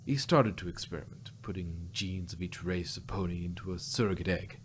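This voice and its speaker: A man voicing a solemn, ancient female pony ruler who confides secrets in a measured way, in an amateur fan-fiction reading. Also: low-pitched, slight background noise, close to an everyday voice. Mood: solemn storytelling. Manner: measured, confessional storytelling.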